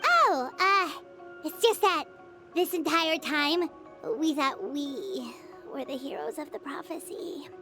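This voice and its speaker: A tiny fairy sidekick with an extremely high, squeaky, childlike voice. The pitch swoops as she blurts out each thought, excitable and quick to take offence. Loud game music runs behind. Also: heavily acted. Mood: astonished. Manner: blurting, refers to itself by name. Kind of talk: English video game voice acting.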